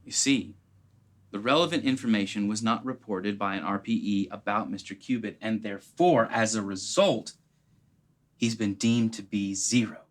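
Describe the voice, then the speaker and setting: A man's voice: on the low side, moderate pace, natural voice. A man voicing an earnest private detective in a comedy sketch, with a theatrical delivery.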